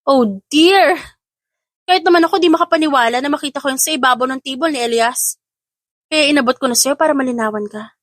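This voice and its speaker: A woman's voice: sits high, fairly quick, fairly bright tone, dry recording, pitched above the performer's own voice. A woman voicing a smug female rival in an audio drama, taunting with mocking false sweetness. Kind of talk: Tagalog audio drama acting.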